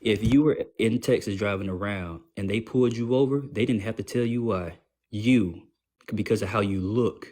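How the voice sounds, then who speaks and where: Male panelist, husky voice, relentless questioner. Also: on the low side, normal speed, a little mellow. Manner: pressing, repeats his point, asks pointed questions. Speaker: guest panelist. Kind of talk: heated debate.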